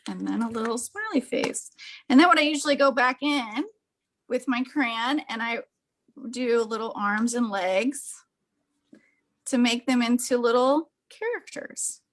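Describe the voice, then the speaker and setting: Woman, fairly high voice, art demonstrator, chatty and gushing, casual how-to delivery. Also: lively intonation, a little slow. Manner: enthusiastic, gushing. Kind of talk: casual hands-on craft demonstration.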